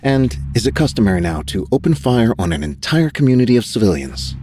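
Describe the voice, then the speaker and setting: An actor playing a gruff, fed-up older boss in an audio drama, stern, curt and sarcastic. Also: male voice, medium pitch, sing-song, brisk, darkish tone, slight background noise, lightly acted. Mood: exasperated, scolding.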